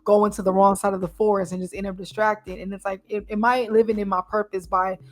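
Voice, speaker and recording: A woman's voice: mid-range voice, flat delivery, fast. A woman hosting a casual podcast, reflective and encouraging as she talks with her guest. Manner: reflective and encouraging, frequent affirming "mm-hmm". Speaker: podcast host.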